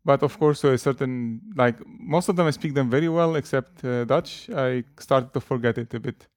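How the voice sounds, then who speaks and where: A man's voice: medium pitch, normal speed, darkish tone, no room sound. A man in his thirties, chatting as an interview guest with a mid-range voice. He talks in a loose, hesitant way and laughs easily. The sound is dry and close. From Lebanon. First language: Arabic and French. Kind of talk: relaxed conversational interview.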